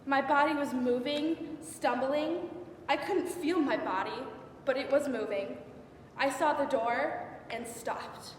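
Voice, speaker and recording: Woman performing an anguished biblical concubine, high voice, halting intense stage monologue, heard from far off. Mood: desperate, anguished. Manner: halting, repetitive, emotionally intense delivery. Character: anguished young unnamed biblical concubine recounting her ordeal. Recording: echoey hall from far off, faint noise.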